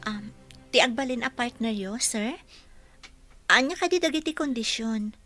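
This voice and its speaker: A woman's voice: fairly high pitch, animated, normal speed, a bit bright, soft background music. A woman acting as a respectful young housekeeper in an Ilocano radio drama, speaking with an Ilocano accent. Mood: deferential, questioning. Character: respectful young woman housekeeper, college graduate job seeker.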